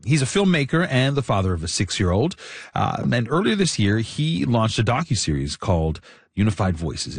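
Male radio host, low lively voice, husky, conversational with frequent restarts, dry studio sound. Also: no echo. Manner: conversational, many fillers and restarts. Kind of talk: radio interview.

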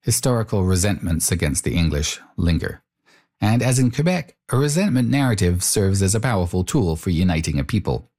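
A man's voice: low-pitched, very animated, fairly quick, a little mellow. A man working as interviewer and narrator, speaking in a documentary style.

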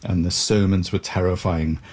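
Deep husky-voiced middle-aged man. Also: fairly even intonation, normal speed, darkish tone. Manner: thoughtful, with hesitant fillers and restarts. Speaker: interview guest. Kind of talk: reflective storytelling.

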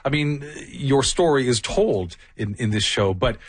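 A male interview host who asks gentle questions and keeps encouraging his guest with soft "mm-hmm"s. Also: mid-range voice, lively intonation, a little slow, slightly rough, no echo. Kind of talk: conversational interview.

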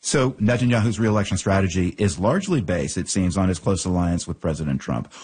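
A male radio host presenting news and interviewing in a calm, scripted way. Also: sits low, brisk, breathy edge.

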